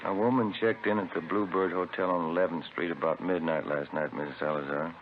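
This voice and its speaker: An actor playing a blunt, matter-of-fact police detective in a 1950s radio drama. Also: male voice, sits low, fairly even intonation, a little fast, natural voice. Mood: blunt, accusing. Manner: plain, matter-of-fact questioning. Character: blunt, businesslike police detective questioning suspects. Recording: tinny old radio recording, faint noise.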